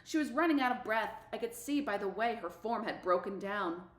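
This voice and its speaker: A woman's voice: mid-range voice, animated, normal speed. A woman reading a novel aloud expressively for middle schoolers. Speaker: book reader for middle schoolers. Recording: echoey room.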